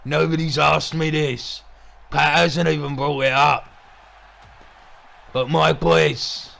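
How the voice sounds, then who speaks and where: A gruff, crude wrestling boss, played by a man, calls the action in a heavy gravelly growl. The voice sits a little high and bright, with a forceful edge. He talks bluntly, swears freely and sounds like a threat. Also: exaggerated voice. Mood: gruff, menacing. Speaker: wrestling commentator. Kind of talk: wrestling commentary with in-character banter.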